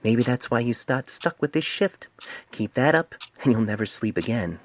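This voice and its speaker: A man's voice: medium pitch, expressive, moderate pace, dry recording, pitched above the performer's own voice, lightly acted. A man voicing a smug, teasing middle-aged female pony doctor with a droll delivery for a fan fiction reading.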